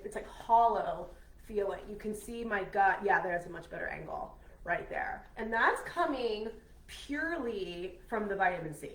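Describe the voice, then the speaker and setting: A woman's voice: lowish voice, roomy sound, low-quality recording. A woman coaching in a casual live video from home. She sounds nasal from a cold and talks in a chatty, upbeat way, full of "um"s and "like"s as she speaks to her viewers. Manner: chatty and upbeat, many "um"s and "like"s, speaks straight to "you guys". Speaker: health and weight-loss coach. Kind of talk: casual live video chat to an online group.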